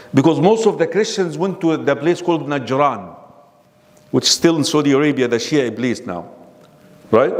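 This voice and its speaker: A man giving a classroom lecture on religion, constantly quizzing his audience with questions. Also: a little high. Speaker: Islamic lecturer. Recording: long reverb of a hall.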